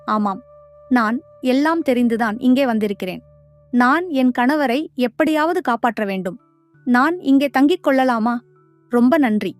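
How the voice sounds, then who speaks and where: A clever cartoon heroine, put on by the narrating woman, speaks in a high, dry, close voice. She is earnest and bold, set on winning. Faint music plays behind her. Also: expressive, moderate pace, pauses often, no echo, natural voice. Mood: determined. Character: brave clever young wife, determined. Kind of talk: cartoon voice acting.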